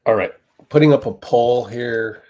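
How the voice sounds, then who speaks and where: A man's voice: mid-range voice, a little slow, darkish tone, lo-fi sound, slight background noise. A man hosting a casual livestream chat, talking in an offhand way while juggling tasks. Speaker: livestream host. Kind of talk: casual live-stream chat.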